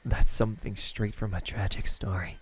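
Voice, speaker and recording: A man voicing a lonely, tender demon in love in an ASMR roleplay, speaking softly and haltingly, often near a whisper. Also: on the low side, steady tone, a little hiss or hum, lightly acted. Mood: bashful, wondering. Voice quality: breathy, soft, half-whispered. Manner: hesitant, halting, stammering. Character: lovesick male demon, lonely, tender, self-doubting.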